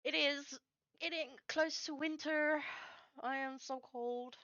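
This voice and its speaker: A woman whose first language is Afrikaans chats casually as she works on a livestream, haltingly searching for words. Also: high voice, steady tone, pushed and bright, dry recording, highs cut off. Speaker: streamer building game maps live. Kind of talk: casual chat while working.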